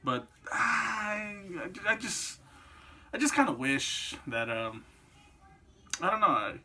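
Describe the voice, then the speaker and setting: A man's voice: high voice, sing-song, slow, sharp tone. A man in his twenties giving casual, rambling, opinionated commentary on games and films, swearing freely as he goes. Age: 20s. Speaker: video creator and host.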